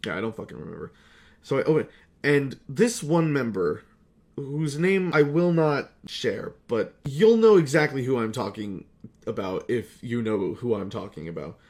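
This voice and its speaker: A man's voice: medium pitch, animated, moderate pace, darkish tone. Candid, sweary young man telling stories. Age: young adult. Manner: candid, profane, self-deprecating, sarcastic. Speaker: YouTuber and fan-film creator. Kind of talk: casual rambling storytelling to viewers.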